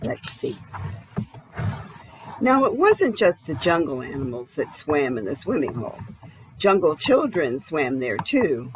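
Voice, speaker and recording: A woman's voice: low-pitched, very animated, measured pace, pauses often, background noise. A woman narrating a children's storybook expressively, with a dramatic read-aloud delivery. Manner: dramatic, asks the listener questions. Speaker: storybook reader.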